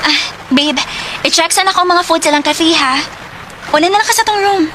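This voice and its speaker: A woman's voice: very high voice, moderate pace, background noise. A woman with a Cebuano accent acting a sweet young girlfriend in a radio drama. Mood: sweet, excited.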